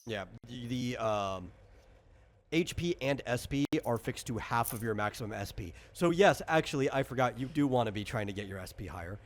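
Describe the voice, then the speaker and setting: A man's voice: mid-range voice, lively intonation, moderate pace. Casual male game master, mid-range voice, patient and slightly rambling rules explainer for a tabletop session. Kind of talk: casual explanatory chat during character creation.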